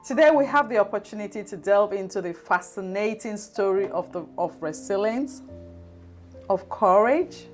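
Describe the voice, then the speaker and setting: A woman hosting an interview show, introducing her guest in a measured, formal way and asking questions in a studio. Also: mid-range voice. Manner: measured, formal introduction with slow emphatic phrases. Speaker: podcast host.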